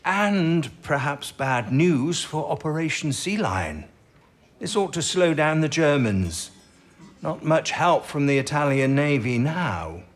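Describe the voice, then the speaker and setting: An actor playing an eccentric, excitable wartime British codebreaking chief in an audio drama, with an emphatic, exclamatory manner. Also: male voice, on the high side, faint music behind. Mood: eager. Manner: emphatic, exclamatory, halting when agitated. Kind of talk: period audio drama acting.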